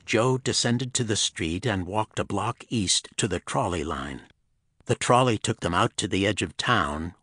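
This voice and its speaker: A man giving scripted narration of a pulp thriller audiobook in a husky voice. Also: lowish voice, fairly bright tone, no room sound, studio quality.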